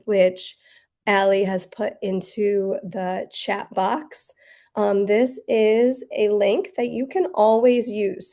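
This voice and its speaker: An American woman with a raspy, hoarse voice from the flu, teaching a bookkeeping webinar in a friendly, chatty way with many fillers. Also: mid-range voice, warm tone. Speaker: bookkeeping instructor. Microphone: computer mic on a video call.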